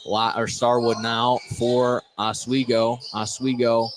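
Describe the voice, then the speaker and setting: A man's voice: mid-range voice, little pitch movement, takes it slowly. Male basketball play-by-play announcer in a noisy gym. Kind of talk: live basketball radio commentary. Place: indoor school gymnasium during a game. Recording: noisy gym, loud background noise.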